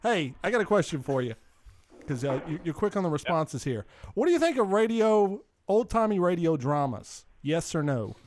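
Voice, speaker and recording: A man co-hosting a podcast in loud, casual banter, with a pushy, badgering way of talking. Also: on the high side, big pitch swings, normal speed. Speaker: podcast co-host making prank cold calls.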